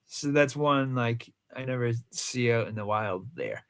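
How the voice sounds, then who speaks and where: A man's voice: mid-range voice, lively intonation, a little slow, smooth. A man with a Rhode Island accent, chatting casually and teasingly as co-host of a movie countdown show. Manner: joking, teasing. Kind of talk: casual film chat.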